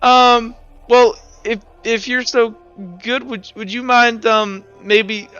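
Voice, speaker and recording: A man voicing an excitable, conciliatory teenage boy who stutters and hesitates in a tabletop game. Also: very high voice, takes it slowly, smooth, dry recording, muffled recording, faint music behind, pitched above the performer's own voice, heavily acted. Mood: hesitant, polite.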